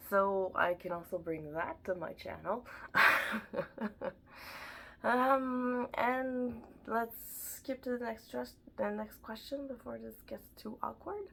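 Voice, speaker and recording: A woman's voice: fairly high pitch, vocal fry, a bit bright, slight background noise. A young woman from Montreal with a Quebec French accent, chatting casually to camera about books, sounding nasal from a blocked nose. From Montreal, Canada. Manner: chatty, rambling, self-deprecating. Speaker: BookTube vlogger. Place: at home, indoors.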